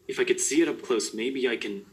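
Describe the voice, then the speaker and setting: A man voicing a blunt, skeptical young man who teases his friends in a loud, deep put-on voice. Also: brisk, natural voice, poor audio.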